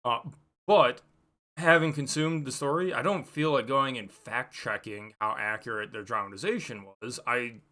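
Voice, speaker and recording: A man with a very bright, forceful voice at a middling pitch talks through a casual book review. He rambles and halts as he thinks aloud, stating opinions and then qualifying them. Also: moderate pace.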